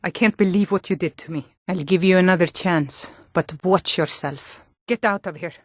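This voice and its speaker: Actress as sturdy Nord woman, fantasy game dialogue, lowish voice, earnest, deliberate, dry close recording. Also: dry recording, close to an everyday voice. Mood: stern.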